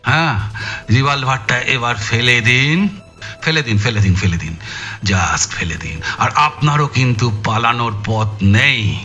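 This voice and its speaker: A man acting a hot-tempered, forceful police detective in an audio story, agitated and firing off repeated commands. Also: mid-range voice, animated, measured pace, muffled recording, soft background music. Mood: commanding.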